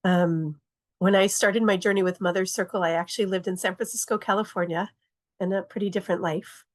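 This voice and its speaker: A woman circle facilitator speaking in a plain, measured way with fillers in casual chat on a video call. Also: medium pitch, fairly even intonation.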